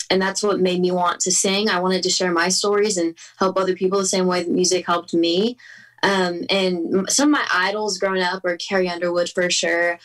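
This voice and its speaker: A woman's voice: on the low side, a bit bright, recorded from a distance, low-quality recording. A young woman from Southern California chatting casually in an interview, upbeat with fillers. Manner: chatty, upbeat, many fillers and small laughs. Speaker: interview guest, singer.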